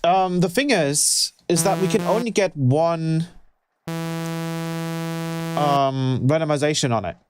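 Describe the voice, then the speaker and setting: A man's voice: on the high side, slow, long pauses. A man who produces music, thinking aloud in a casual, hesitant way as he talks through a livestream tutorial. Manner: hesitant, musing while experimenting. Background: synthesizer music.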